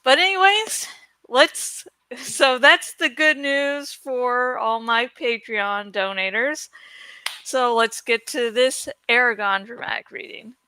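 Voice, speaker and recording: A woman chats casually as host of a reading channel. Her voice is high and very bright, with a rambling, slow delivery and a dry sound. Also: clear, resonant tone, dry recording, low-quality recording.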